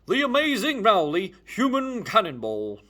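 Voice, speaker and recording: A man voicing loud, showy characters from a comic children's story, exclaiming in a drawn-out, theatrical way. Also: sits high, huge pitch swings, voice pushed up, exaggerated voice. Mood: showy announcing.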